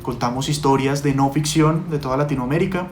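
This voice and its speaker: A man answering interview questions conversationally as a podcast producer, with hesitant, halting phrasing. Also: mid-range voice, slight background noise.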